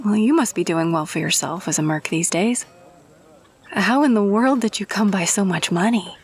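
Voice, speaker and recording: A woman's voice: mid-range voice, normal speed, husky. A woman playing a friendly, grateful rogue-for-hire in a fantasy audio roleplay, talking casually and chummily to the listener. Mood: friendly, persuasive.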